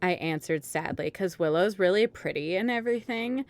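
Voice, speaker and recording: A woman's voice: on the low side, moderate pace, no room sound. A woman hosting and narrating an amateur dramatic reading, with casual chat along the way. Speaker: host and narrator.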